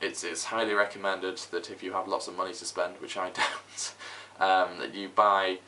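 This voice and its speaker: Young male, low pitch with a bright edge, halting informal tutorial delivery, home camera mic. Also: little pitch movement. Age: young adult. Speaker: host, hobbyist record restorer. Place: small room at home, indoors. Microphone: camera microphone. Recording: faint background noise, home recording.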